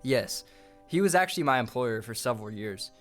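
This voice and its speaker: A man's voice: mid-range voice, animated, a little fast, faint music behind, close to an everyday voice. A man playing an aggrieved former henchman and pilot testifying in an audio drama. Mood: bitter, matter-of-fact.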